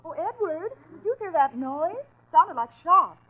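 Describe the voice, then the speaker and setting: An actress playing a frightened, highly emotional wife with melodramatic pleading in a 1940s radio crime drama. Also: female voice, expressive, measured pace, fairly bright tone, heavily acted, poor audio. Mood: uneasy. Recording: old 1940s radio recording.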